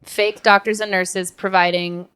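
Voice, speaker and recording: A young woman co-hosting a podcast in casual conversation, animated and given to acting out asides. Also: medium pitch, unhurried, clear, resonant tone. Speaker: podcast co-host.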